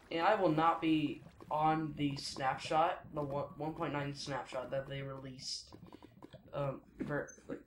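A teenage boy giving rambling, chatty commentary while playing a video game, often correcting himself mid-sentence. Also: fairly high pitch. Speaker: gaming YouTuber. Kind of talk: casual Let's Play commentary. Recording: echoey room with noise behind.

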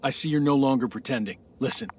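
An actor playing a hostile, embittered space technician holding a captive in a dramatic game, forceful and accusing. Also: male voice, mid-range voice, fast, recorded from a distance, slight background noise, natural voice. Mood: bitter.

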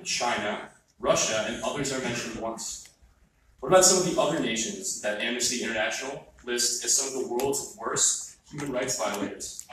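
A young man, a college student, giving a measured, earnest prepared statement at a public meeting. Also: medium pitch, fairly bright tone, a little hiss or hum. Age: young adult. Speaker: student speaker at public comment. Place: large meeting hall, heard from the audience. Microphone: phone recording from far back in the room. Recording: very echoey hall from far off.

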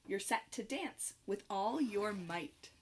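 A young adult woman reading a rhyming picture book aloud to children in an expressive, sing-song manner with clear phrasing. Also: mid-range voice, slow, slight background noise. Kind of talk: children's read-aloud, rhymed storytelling.

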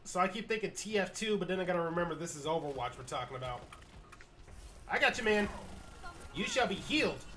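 A man's voice: high voice, animated, a little fast, long pauses, pushed and bright. A man playing a team shooter with a friend, making wry, complaining remarks about the game. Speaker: co-op gaming partner. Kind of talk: casual gaming chat.

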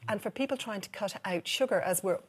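A woman in her thirties with an Irish accent, a nutritionist explaining kitchen spices on a TV morning show, brisk and upbeat. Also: mid-range voice, husky. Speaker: nutritionist guest. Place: TV studio, at a kitchen counter. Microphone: lapel mic.

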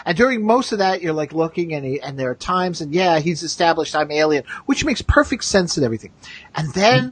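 A man with a fairly high voice, recorded close and dry, hosts a casual discussion. He gives his opinions in a halting way, often doubling back mid-thought, with a husky edge to his tone. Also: lively intonation, no echo. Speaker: podcast host.

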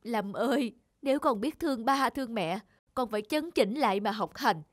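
A woman voicing a worried, caring middle-aged country mother in a cartoon, gently chiding. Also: on the high side, animated, moderate pace, no echo, clean studio sound. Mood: gentle, chiding. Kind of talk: Vietnamese cartoon dubbing.

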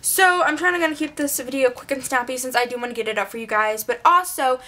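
A woman's voice: high-pitched, fast talker, bright, forceful tone. Give me a chatty young woman with a fading, congested voice. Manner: chatty, rambling, filler-heavy. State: losing her voice, congested. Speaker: beauty vlogger. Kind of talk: casual product review. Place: small bedroom, indoors. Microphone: camera mic at close range.

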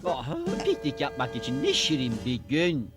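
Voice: medium pitch, wildly swooping pitch, moderate pace. A performer playing a lazy, carefree little male Smurf in a dubbed children's cartoon. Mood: carefree, dismissive. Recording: faint music behind the voice.

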